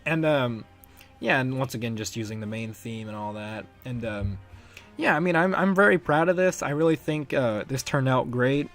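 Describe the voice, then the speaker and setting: A man's voice: medium pitch, animated, normal speed, fairly bright tone. Rambling young man over music. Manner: rambling, enthusiastic, chuckles often. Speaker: fan dub creator and editor. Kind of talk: casual behind-the-scenes commentary. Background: music under the voice.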